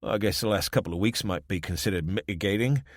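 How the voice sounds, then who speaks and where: A man's voice: on the low side, fast talker, no room sound, lightly acted. A man doing a wry London-accented police chief. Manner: dry, wry, understated. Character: wry middle-aged man, reluctant town police chief.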